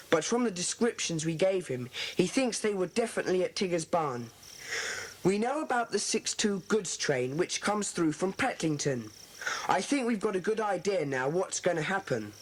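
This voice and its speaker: Earnest high-voiced English schoolboy leader. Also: male voice. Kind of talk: dramatised children's audiobook acting. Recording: faintly noisy old cassette recording.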